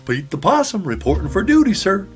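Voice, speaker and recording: A man performs a keen possum deputy in a children's audio mystery. The voice is high, obedient and eager to serve, with music behind it. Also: very animated, fairly quick. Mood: eager, dutiful. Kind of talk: children's audio drama acting.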